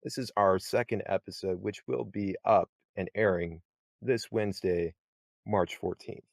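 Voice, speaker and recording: A man hosting a voice acting podcast, talking in a relaxed, chatty way and laughing often. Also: low voice, measured pace, creaky, no echo. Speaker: podcast host. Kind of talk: casual podcast chat.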